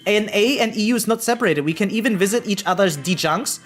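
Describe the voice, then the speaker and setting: An excitable gaming streamer chatting casually and slangily on a livestream, swearing freely. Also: mid-range voice, fairly quick. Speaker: variety game streamer. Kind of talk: casual livestream chat about gacha games. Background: background music.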